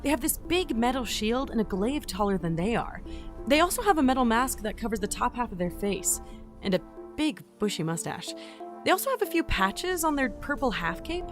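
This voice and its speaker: A woman acting as an inquisitive, brash young agent in a sci-fi audio drama. Her voice sits high and swings widely in pitch, with an informal, easily defensive manner. Music plays clearly behind her. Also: very animated, normal speed. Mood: curious, observing. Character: brash, inquisitive young field entity logging a mission.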